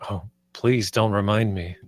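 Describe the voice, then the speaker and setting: A man's voice: on the low side, steady tone, a little slow, no echo, clean studio sound, lightly acted. A man reading the part of a nervous, polite company man in a script table read, hesitant and apologetic.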